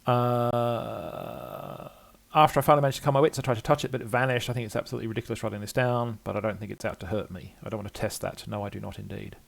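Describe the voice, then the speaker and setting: A man reading a game journal as an anxious scholar who doubts his sanity, rambling and repeating himself in a gravelly voice. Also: lowish voice, fast talker. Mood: fretful, haunted. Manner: rambling, self-repeating, fretful.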